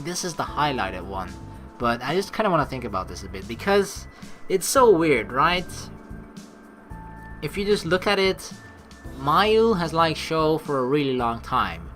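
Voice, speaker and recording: Bright-voiced man rambling over game music. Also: a little high. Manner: rambling, thinking aloud, informal swearing. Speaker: let's play commentator. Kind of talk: casual game commentary with reading of on-screen text.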